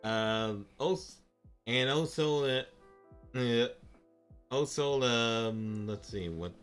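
A young man streaming a game live from his room, talking in halting bursts full of fillers and repeated words. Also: medium pitch, fairly bright tone, clear, resonant tone, faint music behind. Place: home room, indoors.

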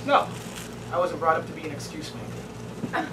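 Guy doing a sarcastic young army brat, bouncy delivery, live stage, loud noisy crowd. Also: on the high side, sing-song, normal speed. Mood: sardonic. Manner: brash and sardonic. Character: cocky young man, army sergeant's son. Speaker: stage actor. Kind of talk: live staged reading of a sitcom. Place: small theater stage. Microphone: far camera. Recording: distant mic, loud audience and room noise.

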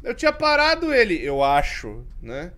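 Brazilian guy streaming a horror game, lively up-and-down voice, faint noise behind. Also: high voice, moderate pace. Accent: Brazilian Portuguese. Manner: jumpy, swings from mumbling to yelling. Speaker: gaming streamer. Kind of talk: let's play commentary. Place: home streaming room with colored lights.

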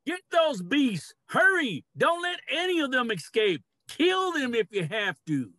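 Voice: sits high, big pitch swings, a little slow, bright, forceful tone, full character voice. An actor playing a harsh human hunter leader in a play reading, barking orders. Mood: aggressive.

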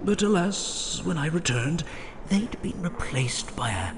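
A man playing a kindly, whimsical old wizard headmaster with an English accent, musing and gently dramatic. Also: a little high, sing-song, measured pace, background noise.

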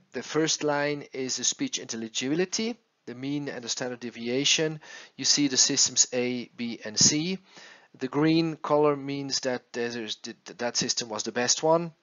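A man working as an acoustics consultant explains a case study over slides in a halting way, repeating words as he goes. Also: medium pitch, a bit bright, lo-fi sound. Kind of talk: slide presentation, explanatory narration.

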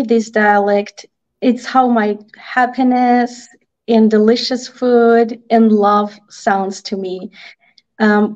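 A woman with an Armenian accent speaks in a fairly high voice. She is a native Armenian speaker, talking conversationally as an interview guest. The video-call sound is a little dull. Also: mellow. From Armenia (Artsakh). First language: Armenian (Artsakh dialect). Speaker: picture book author, interview guest. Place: indoor room, video call. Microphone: video call microphone.

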